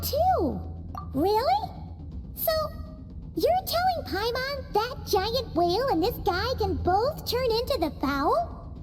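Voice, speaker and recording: A woman voicing a small, childlike flying companion in a video game, chirpy, excitable and bossy, with a squeaky voice and exclamatory delivery. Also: heavily acted. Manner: refers to self in third person, exclamatory. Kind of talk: video game character acting.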